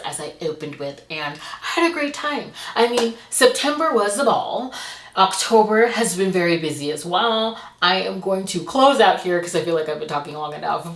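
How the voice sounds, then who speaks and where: Low-voiced expressive woman, roomy sound. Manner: expressive, gushing, emphatic storytelling. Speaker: book reviewer and host of a reading channel. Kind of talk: chatty monthly reading wrap-up to camera.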